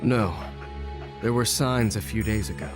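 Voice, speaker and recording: An actor playing a stern, brooding adult ninja in an anime game, speaking in a terse, serious, matter-of-fact way. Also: male voice, fairly low pitch, unhurried, natural voice. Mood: grave. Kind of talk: English anime game dub acting. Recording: music clearly behind.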